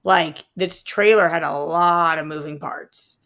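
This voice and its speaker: A woman with a lowish voice and clear vocal fry talks casually as a podcast co-host. She is informal and halting, feeling around for her words. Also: fairly bright tone. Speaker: podcast co-host reviewing movie trailers. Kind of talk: casual movie chat.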